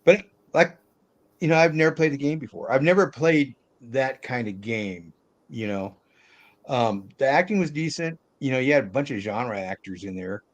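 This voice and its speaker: A man with a mid-pitched voice talks casually about films as part of a panel. His delivery is hesitant and halting, and his phrases often trail off. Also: animated. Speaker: podcast panelist discussing films. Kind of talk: casual chat.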